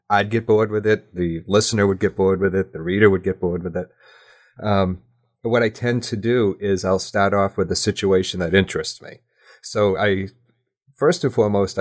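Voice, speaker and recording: A man with a low, deep voice answers as a guest in a casual interview. He is thoughtful and candid, working out his answers as he talks. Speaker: podcast guest, audio drama writer. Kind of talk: casual, reflective interview chat.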